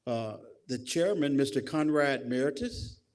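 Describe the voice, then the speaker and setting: A man serving as emcee at a community reception, announcing guests and calling names slowly. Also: mid-range voice, animated, noisy background. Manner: announcing names, slow and deliberate. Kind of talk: emceeing a public program.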